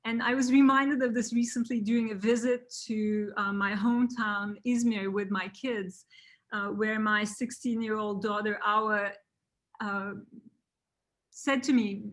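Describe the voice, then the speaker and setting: A woman in her forties from Turkey, a native Turkish speaker, hosting an online talk, unhurried with many fillers and restarts. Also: a little high. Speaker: host.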